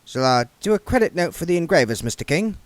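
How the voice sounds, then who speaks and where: A man's voice: on the high side, very animated, fast talker, dry recording, pitched above the performer's own voice. A man voicing a bold but polite young male clerk who speaks matter-of-factly.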